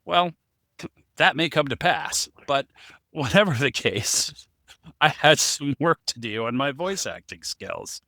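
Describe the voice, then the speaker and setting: A man's voice: medium pitch, animated, takes it slowly, bright, forceful tone, dry recording, clean studio sound. A man co-hosting a casual podcast discussion, talking as the writer of the story. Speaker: podcast co-host, story writer and amateur voice actor.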